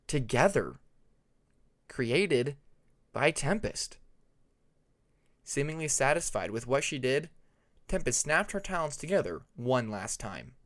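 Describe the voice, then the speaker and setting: A man's voice: mid-range voice, fairly bright tone. A man reading a fantasy story aloud as an audiobook narrator, in a scripted narration style. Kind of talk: scripted fan fiction narration.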